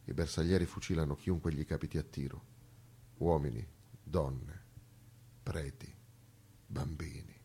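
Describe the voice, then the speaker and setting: A man's voice: a bass voice, steady tone, unhurried, long pauses, slightly rough, exaggerated voice. A man performing as a grave storyteller, narrating history in an emphatic, theatrical stage-monologue style. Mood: hushed, grave. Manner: solemn, emphatic delivery swinging from declaimed to hushed. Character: grave male storyteller recounting a massacre with indignation.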